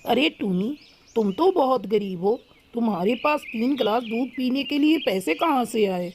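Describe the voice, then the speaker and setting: Lady doing a snooty mean rich crow guy for kids cartoon, taunting, lowered voice, bit noisy. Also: animated, a little slow, darkish tone. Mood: mocking. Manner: taunting, boastful, menacing. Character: arrogant, cruel rich male crow who bullies birds. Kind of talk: Hindi children's cartoon dialogue.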